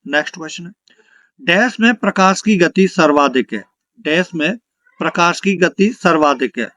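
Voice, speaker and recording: High-pitched male exam teacher. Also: expressive, moderate pace, bright, forceful tone, no echo. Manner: instructional, repeats questions and answers for emphasis. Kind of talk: exam question lesson, reading questions and answers.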